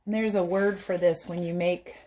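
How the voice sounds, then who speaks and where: A woman reading a story aloud as a teacher, pausing to explain things simply to young listeners. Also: lowish voice, steady tone, mellow. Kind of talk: read-aloud storytelling with explanatory asides for children.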